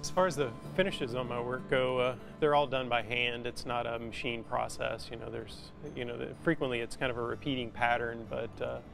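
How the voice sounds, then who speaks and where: A man in his forties, a metal artist, talking reflectively and haltingly in a documentary interview, with lots of casual fillers, on a lapel mic. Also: medium pitch, fairly even intonation, vocal fry. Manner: halting, thoughtful, filler-heavy casual speech with many "you know"s. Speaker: metal sculptor being interviewed. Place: large indoor metal workshop. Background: instrumental music.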